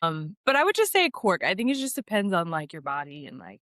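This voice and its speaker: A young American woman with a slightly low voice talks as a guest on a podcast. Her way of speaking is informal and chatty, peppered with "like", and the sound is close and dry. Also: huge pitch swings, fast, a bit bright, no room sound. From New York, United States. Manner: informal, conversational, frequent "like". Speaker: interview guest, actress. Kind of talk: casual podcast chat.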